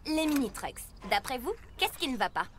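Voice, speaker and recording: A woman's voice: high voice, very animated, moderate pace. A woman voicing an enthusiastic artist truck who teaches youngsters with exclamations in a children's cartoon. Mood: instructive, upbeat. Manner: instructive, exclamatory. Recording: music under the voice.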